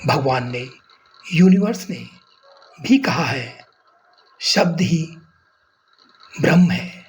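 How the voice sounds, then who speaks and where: An Indian man narrating a guided meditation in short, measured phrases with pauses between them. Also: on the high side, lively intonation.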